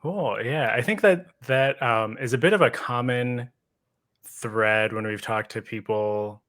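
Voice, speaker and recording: A man's voice: medium pitch, unhurried, fairly bright tone. A man hosting a podcast, interviewing a guest in a thoughtful, conversational way with tentative phrasing. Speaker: podcast host.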